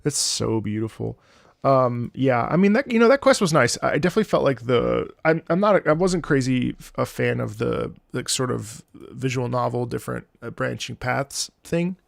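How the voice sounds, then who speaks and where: A man with a creaky, gravelly edge to his voice talks through his thoughts on a game in a loose, rambling way. He sounds like an enthusiastic streamer speaking off the cuff. The sound is close and dry, with very little room on it. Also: mid-range voice, animated, normal speed, no echo. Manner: rambling, thinking aloud. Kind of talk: casual off-the-cuff game commentary.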